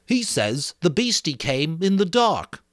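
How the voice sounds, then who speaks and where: A man's voice: a little high, very animated, unhurried, dry recording, studio quality, pitched above the performer's own voice. A man voicing an earnest, insistent schoolboy who speaks up urgently for others in a dramatic book reading. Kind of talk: dramatic audiobook character voice.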